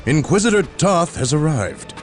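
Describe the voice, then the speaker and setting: An actor playing a dutiful, cautious military specialist in a video game, reporting formally and precisely. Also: male voice, fairly high pitch, sing-song, normal speed, faint music behind. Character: dutiful, cautious armoured soldier-specialist reporting to his captain. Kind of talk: dramatic video game acting.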